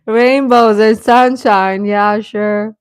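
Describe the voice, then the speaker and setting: A woman's voice: fairly high pitch, fairly even intonation, takes it slowly, clear, resonant tone, dry recording, studio quality. A woman hosting a live stream, chatting casually with viewers in an informal, hesitant, self-deprecating way.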